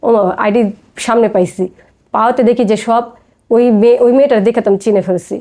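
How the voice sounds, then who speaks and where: A woman's voice: fairly high pitch, fast, dark tone. A woman with a Bangladeshi accent telling her personal story casually as a guest, often acting out quoted dialogue.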